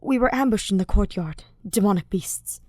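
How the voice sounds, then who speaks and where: A woman's voice: medium pitch, expressive, moderate pace, darkish tone, dry recording, studio quality, close to an everyday voice. A woman voicing a dutiful, shaken male soldier in a fan fiction audiobook, deferential and defensive as he reports. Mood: grave, deferential.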